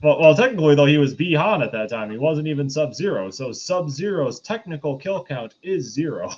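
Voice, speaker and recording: A man's voice: a little high, sing-song, a little fast, darkish tone. A man co-hosting a casual film review chat, talking informally. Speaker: podcast co-host. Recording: dull lo-fi sound, faint noise.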